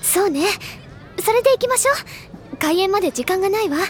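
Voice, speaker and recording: A woman playing a bright, big-sisterly teenage club girl in an audio drama, with animated, polite feminine speech. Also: very high voice, fairly quick. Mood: urgent. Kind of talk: Japanese audio drama acting. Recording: music behind the voice.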